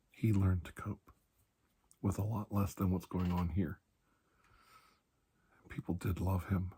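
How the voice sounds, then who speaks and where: A man speaking in a soft, breathy ASMR roleplay as a calm, kindly doctor, gentle and reassuring with unhurried pauses. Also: sits low. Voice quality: breathy, hushed. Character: calm, kindly male doctor soothing a frightened patient. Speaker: ASMR performer playing a doctor.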